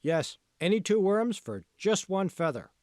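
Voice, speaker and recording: A wheedling street merchant voiced by a man hawks and coaxes ingratiatingly. The pitch is raised and lively, in a storybook fable style. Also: measured pace, no echo, studio quality. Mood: coaxing. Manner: sing-song hawking, ingratiating. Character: coaxing, wheedling street merchant selling worms.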